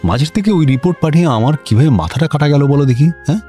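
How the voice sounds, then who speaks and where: An actor playing a district magistrate in a comic radio drama, an officious, easily bemused senior official with an incredulous way of questioning. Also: male voice, fairly high pitch, lively intonation, fast talker, dark tone, soft background music, close to an everyday voice. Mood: exasperated.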